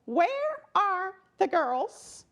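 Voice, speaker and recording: Woman playing a sassy, high-pitched little schoolgirl. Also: lively intonation, drawn-out words, fairly bright tone, exaggerated voice. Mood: indignant. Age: child figure. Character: sassy indignant little schoolgirl who wants to be president.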